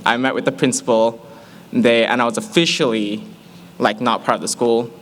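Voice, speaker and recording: A young man in his early twenties with an American accent, giving a humorous personal testimony to an audience in an animated, playful storytelling manner. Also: mid-range voice, moderate pace. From Malaysia.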